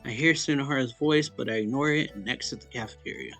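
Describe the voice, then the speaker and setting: A man with a noticeable vocal fry and a mid-range voice reads story text aloud for a let's play. His delivery is halting, with stumbles and repeated phrases, and music plays faintly behind him. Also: medium pitch, fairly bright tone. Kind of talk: reading a visual novel aloud.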